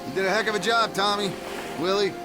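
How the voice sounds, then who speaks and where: Guy as tired easygoing us marine chatting w his squad, slightly high, slow, loud game music behind. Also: lightly acted, rough recording. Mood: warm, relieved. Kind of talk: game cutscene acting.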